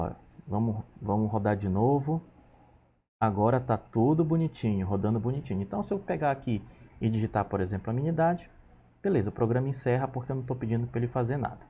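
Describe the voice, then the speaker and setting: A patient male teacher in his thirties explains code as he types, checking in often with his students. His voice is relaxed and mellow. A faint background hiss sits under the desk recording. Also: medium pitch. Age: 30s. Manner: patient, talks through each step with frequent "tá?" and "ok?". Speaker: programming teacher. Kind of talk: screen-recorded coding lesson.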